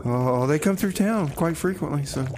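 A man's voice: a little high, lively intonation, moderate pace, over a noisy crowd. A man hosting a radio music show with casual, slangy banter, often repeating his phrases. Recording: clear background noise.

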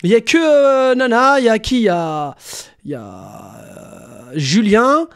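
High-pitched young man live streaming. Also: a little slow, vocal fry, clear, resonant tone. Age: young adult. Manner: slangy, animated, swearing. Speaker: prank call streamer. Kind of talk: casual live stream chat with crude prank storytelling. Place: small room at home.

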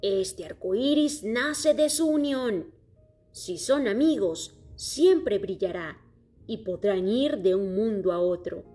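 A woman voicing a wise, worried king from another planet in a children's story, speaking slowly and earnestly. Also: mid-range voice, expressive, mellow, faint music behind. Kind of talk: storybook character voice.

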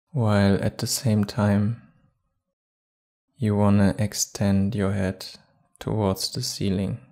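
A man guiding a meditation calmly and without hurry, leaving long pauses between short phrases. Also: lowish voice. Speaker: meditation guide. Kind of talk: guided meditation.

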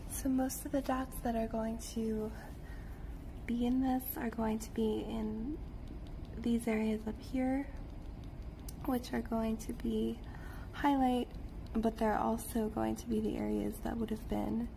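A young woman speaking softly and without hurry, giving an ASMR-style drawing tutorial as she works. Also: on the high side. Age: young adult. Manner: softly spoken, hushed, unhurried. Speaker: illustrator giving a drawing demonstration. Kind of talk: soft-spoken ASMR art tutorial. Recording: steady clear background noise.

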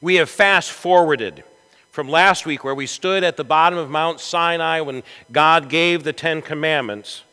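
A man preaching a storytelling sermon in a church, with a dramatic, deliberate delivery and emphatic swells. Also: a little high, pushed and bright, clear, resonant tone. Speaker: pastor preaching. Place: large church sanctuary, from the chancel. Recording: echoey church hall with long reverb.

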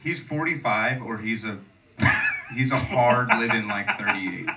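A young man co-hosting a live podcast on a club stage with a handheld mic, rambling through stories and swinging between loud and soft. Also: medium pitch, measured pace, distant mic, a little hiss or hum. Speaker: podcast co-host. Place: comedy club stage before an audience.

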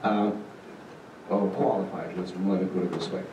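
A middle-aged man giving an informal conference presentation, halting and dryly humorous. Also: low-pitched, steady tone. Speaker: conference presenter, state agency manager. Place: auditorium stage. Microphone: handheld microphone, recorded from far camera. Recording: echoey hall, distant, noisy room sound.

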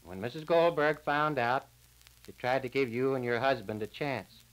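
Actor playing small-town man, solemn verdict delivery, plain-spoken, deliberate pauses, vintage radio disc crackle. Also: medium pitch, natural voice. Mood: stern. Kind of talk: 1940s radio drama acting.

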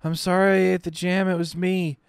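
A man voicing a dying young woman who talks like a small child in her fever, with a high, pleading voice. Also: flat delivery, brisk, gravelly, clean tone, dry recording, clean studio sound. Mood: pleading, delirious.

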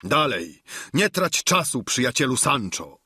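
A male reader plays a lofty, solemn knight from a storybook. He exhorts kindly and grandly in a husky, mid-pitched voice with animated swings. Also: unhurried, no room sound, studio quality, full character voice. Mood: urgent, concerned.

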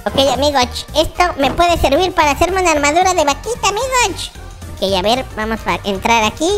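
A very high, squeaky little baby boy narrates a block-game adventure. The delivery is excitable, dramatic and repetitive, like a childish hero talking to viewers, with prominent music behind it. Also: fairly quick. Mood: focused, chatty. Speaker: gaming video host. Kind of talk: Minecraft roleplay commentary. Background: children's background music.

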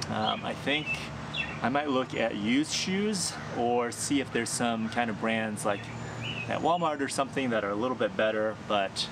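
Casual male vlogger in his thirties, mid-range voice, rambling gear review recorded outdoors with clear background noise. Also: medium pitch, normal speed. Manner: chatty, thinking aloud with fillers and small laughs. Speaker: hiking vlogger. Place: sunny backyard, outdoors.

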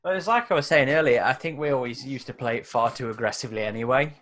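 A man's voice: mid-range voice, fast talker, fairly bright tone. A man with a British accent, chatting casually as a player in an online tabletop game.

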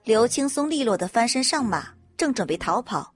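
A woman narrating a web audio novel in an even storytelling manner. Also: a little high, normal speed, husky, faint music behind.

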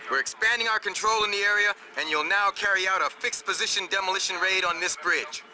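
A man's voice: high-pitched, fairly quick, crowd noise, natural voice, poor audio. A man playing a clipped, authoritative field commander, giving scripted mission briefings in a war game. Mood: commanding. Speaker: military commander.

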